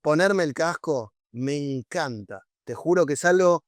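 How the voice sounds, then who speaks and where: A man's voice: on the high side, expressive, unhurried, dry recording. A man, a podcast guest who talks with enthusiasm and keeps restarting his sentences. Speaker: guest, brand designer. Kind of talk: casual interview chat.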